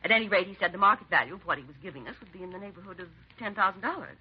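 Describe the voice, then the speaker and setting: A genteel society hostess, played by an actress in a vintage radio drama. Her delivery is quick and swoops up and down with proper, hesitant politeness. The old recording is muffled and noticeably hissy. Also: fairly low pitch, expressive, lightly acted. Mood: composed, chatty. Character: genteel, dignified society charity hostess, naive and trusting. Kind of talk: 1950s radio drama acting.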